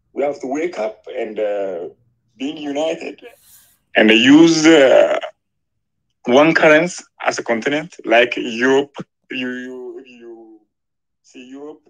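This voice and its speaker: A man from Ethiopia calls into a live stream and speaks English, which is not his first language. He talks hesitantly, pausing often and groping for words. His voice has a middling pitch. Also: poor audio. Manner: hesitant, searching for words, many fillers. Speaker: caller. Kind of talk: live call-in.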